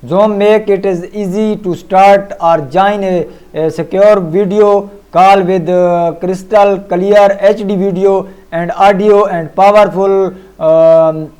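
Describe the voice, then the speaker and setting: Male tutorial presenter, high-pitched and clean-toned, reading a script with frequent stumbles. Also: darkish tone, clear, resonant tone, poor audio. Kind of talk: scripted narration read aloud.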